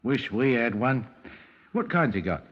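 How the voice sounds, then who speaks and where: An actor playing an affable, easygoing British county police sergeant in a 1950s radio drama, chatty and understated. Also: male voice, mid-range voice, sing-song, a little slow. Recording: dull, reverberant 1950s radio recording.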